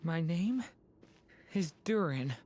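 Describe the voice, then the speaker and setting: A woman's voice: fairly low pitch, expressive, slow, dark tone. An actress voicing a bewildered, innocent young boy who is lost, in a video game. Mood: dazed. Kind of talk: scripted game voice acting. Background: background music.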